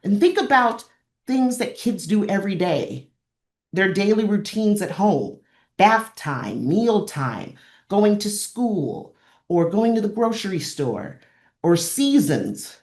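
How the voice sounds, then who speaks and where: A woman's voice: medium pitch, big pitch swings, slow, a little mellow. A woman presenting an instructional webinar, explaining in an encouraging way and repeating phrases for emphasis. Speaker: presenter of a librarian training session. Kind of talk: instructional webinar talk with examples.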